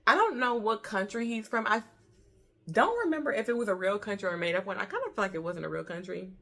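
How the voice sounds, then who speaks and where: A woman's voice: medium pitch, quick pace, fairly bright tone. An American woman in her early thirties giving a casual book review in an informal, chatty way, repeating herself for emphasis. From Detroit, Michigan, USA.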